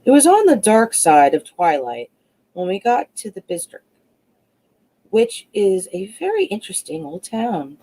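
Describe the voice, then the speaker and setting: A woman reading a classic novel aloud as an audiobook narrator, expressive but a little halting in places. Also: mid-range voice, creaky, low-quality recording. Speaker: audiobook reader.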